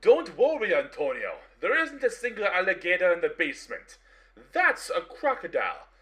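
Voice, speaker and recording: A man playing a bossy, touchy barbershop owner in a radio play. His high voice leaps around wildly in pitch, brusque and exasperated. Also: huge pitch swings, moderate pace, pushed and bright, full character voice.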